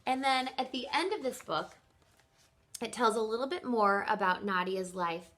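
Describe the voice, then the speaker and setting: A woman with a fairly high, very bright voice reads aloud as a teacher would for a group of children. She is expressive and speaks straight to her young listeners, drawing them in with questions.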